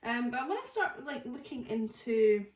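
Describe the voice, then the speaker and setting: A woman's voice: fairly high pitch, big pitch swings, moderate pace. A woman with a stuffy nose from a cold, chatting casually through a tarot reading with lots of fillers. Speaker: tarot reader. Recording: echoey room.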